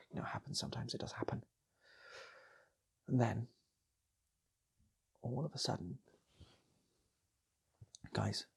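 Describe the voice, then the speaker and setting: A man improvising a comic story in a soft, breathy ASMR style, often repeating phrases and slipping into whispers. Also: lowish voice. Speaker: storyteller, channel host.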